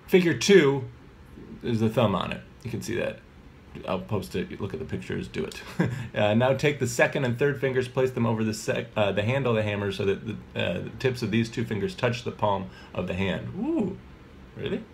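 A man in his thirties with a very low voice talks through a lesson in a loose, rambling way, stopping to correct himself and filling gaps with ums. He holds a phone at arm's length in a home kitchen, and a faint hiss sits under the sound. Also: big pitch swings, normal speed, creaky. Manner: rambling, many fillers and self-corrections. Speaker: mallet percussion teacher. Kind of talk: casual video lesson. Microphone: phone camera at arm's length.

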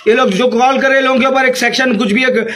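A man's voice: extremely high pitch, fairly even intonation, fast talker, a bit bright. A man in his fifties with a Hyderabadi accent, scolding in an agitated, repetitive angry rant to camera. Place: small room indoors.

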